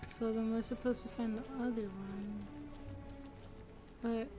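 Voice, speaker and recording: A woman in her twenties with a youthful, soft-spoken, reserved way of talking, giving casual commentary as she plays a game. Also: fairly high pitch, steady tone, a little slow. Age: 20s. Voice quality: youthful-sounding. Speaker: gaming video creator. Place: voice recorded over video game audio. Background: game music.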